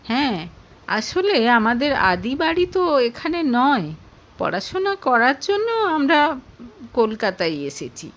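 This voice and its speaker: A woman's voice: high voice, fairly bright tone, exaggerated voice. A woman voicing a polite, earnest young woman in an audio story, deferential and hesitant.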